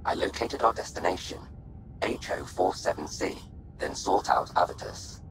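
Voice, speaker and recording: A man voicing a calm, damaged artificial intelligence in a video game, speaking in formal, measured, matter-of-fact phrases. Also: sits low, background noise. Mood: flat, formal.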